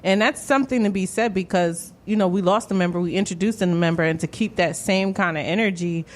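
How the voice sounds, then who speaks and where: A woman in her thirties talking as a podcast guest, chatty and quick to laugh. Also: lowish voice. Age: 30s. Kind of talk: casual interview chat.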